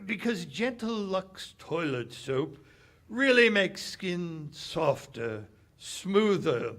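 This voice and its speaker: A man acts out a 1940s radio man with a bright, forceful tone. His hearty delivery swings widely in pitch and takes its time, in old-time broadcast style. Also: exaggerated voice. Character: folksy, enthusiastic 1940s soap company spokesman; bitter, guarded cafe owner. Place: live stage performance at a public library.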